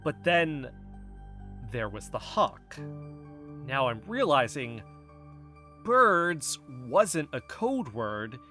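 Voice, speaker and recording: A man's voice: medium pitch, big pitch swings, very slow, long pauses, bright, forceful tone, soft background music, exaggerated voice. A man playing a paranoid, agitated investigative podcaster in an audio drama, speaking with urgent insistence. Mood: intense, paranoid. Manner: urgent, insistent, dwelling on suspicions. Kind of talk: audio fiction podcast dialogue.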